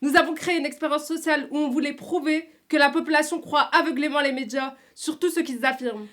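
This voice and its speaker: A woman's voice: sits high, fairly even intonation, fast talker, fairly bright tone. A woman playing an earnest female spokesperson explaining things in an audio drama. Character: earnest young female activist or expert making revelations.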